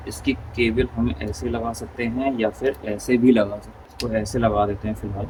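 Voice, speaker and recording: A man giving a casual hands-on tutorial as a vlogger, informally thinking aloud step by step. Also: lowish voice. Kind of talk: casual hands-on tutorial chat in Hindi mixed with English words. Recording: clearly noisy background ambience.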